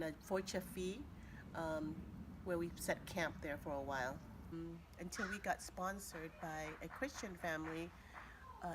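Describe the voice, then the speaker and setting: A woman in her fifties, born in Vietnam, recalling her family's flight in a hesitant way, with many pauses and fillers. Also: lowish voice, background noise. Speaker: interviewee. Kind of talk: recounting family history.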